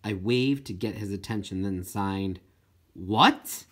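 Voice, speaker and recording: A man reading a first-person children's novel as an expressive audiobook narrator. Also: on the low side, normal speed. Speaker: audiobook reader.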